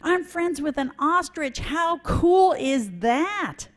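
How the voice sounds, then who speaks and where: A woman puts on a chirpy young male bird who can't stop bragging, lifting the voice well above her own in eager bursts. Slow, drawn-out storybook delivery, as if reading to children. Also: very high-pitched, animated, pitched above the performer's own voice, heavily acted. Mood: excited.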